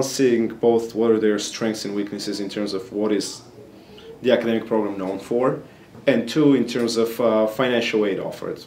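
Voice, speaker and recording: A young man from Bulgaria, a graduate student speaking English as a second language, gives interview answers in a somewhat low voice. He explains earnestly and often pauses on fillers. He is recorded close to the camera in a small, plain office. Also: a little mellow, a little hiss or hum.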